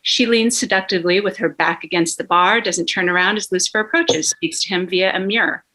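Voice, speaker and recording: A woman's voice: on the low side, fairly quick, sharp tone, poor audio. A woman reading scripted stage directions as a dramatic narrator at a table read.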